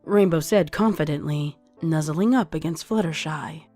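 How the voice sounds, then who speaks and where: Casting: female story narrator, lowish pitch, reading scripted fiction over music. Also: normal speed, warm tone. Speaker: narrator, fan fiction reader. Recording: music under the voice.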